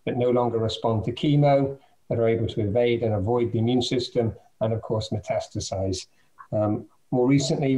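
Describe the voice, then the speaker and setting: A man, a biotech chief executive, giving a measured self-introduction on an online panel with frequent ums and repeated words. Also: medium pitch, warm tone, rough recording. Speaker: biotech company CEO, panelist.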